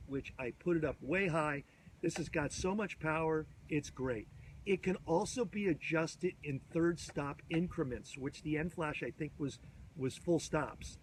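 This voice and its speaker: Slightly hoarse guy in his 50s, upbeat and rambly, filming himself outside on a field, bit of hiss behind him. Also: on the high side, rough recording. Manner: chatty, enthusiastic, rambling with restarts. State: hoarse from a cold. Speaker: photography instructor. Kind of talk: casual gear tutorial to camera. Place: outdoors on a sports field. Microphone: phone or camera at arm's length.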